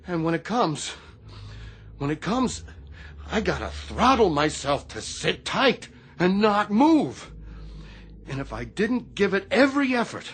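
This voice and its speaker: Anxious Navy chief, swooping intonation. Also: male voice, sits high, very animated, husky, full character voice. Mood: uneasy, haunted. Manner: anxious, halting, repeats himself. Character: troubled, haunted Navy chief petty officer. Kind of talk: radio theatre acting.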